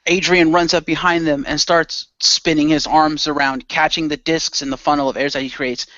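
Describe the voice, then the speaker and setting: A man narrating an action radio play in a casual, halting, improvised style. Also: on the high side, level tone, bright, forceful tone, no room sound, highs cut off.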